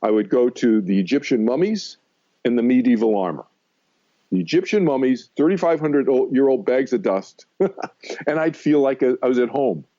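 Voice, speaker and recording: A man's voice: medium pitch, lively intonation, moderate pace, mellow, lo-fi sound. An American man in his sixties telling personal stories as a guest in a conversational radio interview, emphatic and often circling back to repeat his points. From Massachusetts, United States. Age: 60s. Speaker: interview guest, meditation teacher.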